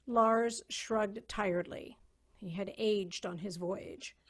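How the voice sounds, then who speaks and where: Female narrator, mid-pitched voice, scripted storytelling, dramatic reading. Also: fairly even intonation, slow, a little hiss or hum.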